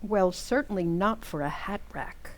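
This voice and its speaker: A woman playing a patient but firm housewife in a radio comedy, coaxing and gently scolding her husband. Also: mid-range voice, lively intonation, measured pace, natural voice, rough recording. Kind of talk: old-time radio comedy acting.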